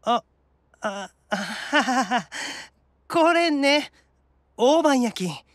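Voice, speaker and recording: A man playing an excitable, boyish otaku demon brother, animated and reactive, in a Japanese anime-style audio drama. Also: very high voice, dry recording, studio quality, exaggerated voice. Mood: sheepish. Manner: animated, reactive, fast-gushing fan talk.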